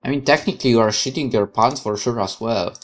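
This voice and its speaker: Really low voiced woman reading a story game out loud, chatty comments, faint music behind. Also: fast talker. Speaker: game player narrating a playthrough. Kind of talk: reading story text aloud with casual commentary.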